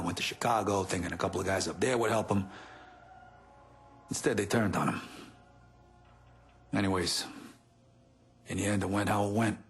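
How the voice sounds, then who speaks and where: A man's voice: lowish voice, slightly rough. A man acting a weary, profane middle-aged gangster in a video game, talking bluntly and streetwise. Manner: blunt, streetwise, heavy swearing.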